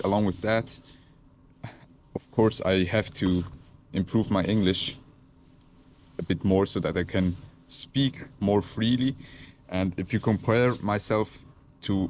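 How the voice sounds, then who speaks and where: A man's voice: lowish voice, slight background noise. A man from Switzerland, a native Swiss German speaker with a Swiss German accent, talking reflectively to camera, hesitant and searching for words with frequent restarts. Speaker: content creator. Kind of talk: reflective casual talk to camera.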